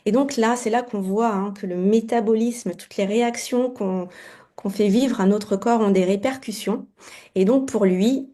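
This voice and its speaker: A woman in her forties tells her personal story over a video call. Her voice is warm and mellow, and she hesitates often, filling her pauses. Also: medium pitch. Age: 40s. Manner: hesitant, many fillers. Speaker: guest client giving testimony. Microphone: video call mic.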